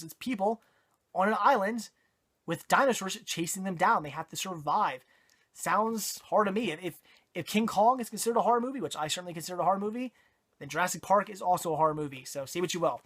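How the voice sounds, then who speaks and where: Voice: medium pitch, fairly bright tone. A horror movie reviewer talks through a casual video review while losing their voice. They ramble and double back with plenty of ums, restarts and corrections. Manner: rambling, self-correcting, many fillers. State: hoarse, voice giving out.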